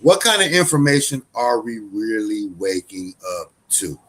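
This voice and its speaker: A man's voice: lowish voice, sing-song, a little slow, a bit bright, husky. A man giving loose, conversational commentary, full of restarts and fillers, as a show host. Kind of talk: casual spoken commentary.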